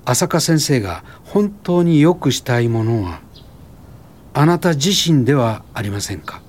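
An actor in a radio drama playing an idealistic, gently persuasive school founder, speaking courteously and deliberately. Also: male voice, mid-range voice, expressive. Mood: probing.

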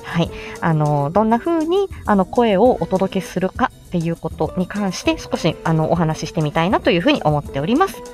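Animated woman explaining things over music. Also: fairly low pitch, a little fast, few pauses. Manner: chatty, explanatory, greets listeners by name. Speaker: presenter teaching how to make voice samples; speech therapist and amateur voice actor. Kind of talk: informal instructional audio livestream.

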